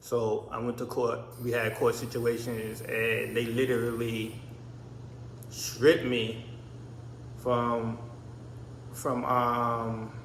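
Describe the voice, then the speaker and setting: Barbados-born man in his 30s, raised in the US, rambling vlog story, monotone, roomy echo. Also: mid-range voice, slow, pauses often, a little hiss or hum. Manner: rambling, with many restarts and "I mean". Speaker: vlogger. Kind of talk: casual personal storytelling. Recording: echoey room.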